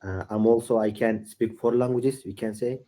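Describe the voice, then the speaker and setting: Hesitant young learner. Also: male voice, medium pitch, steady tone, dark tone. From Iraq. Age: late teens. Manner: halting, many uh fillers and restarts. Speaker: English learner. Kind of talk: casual video-call conversation.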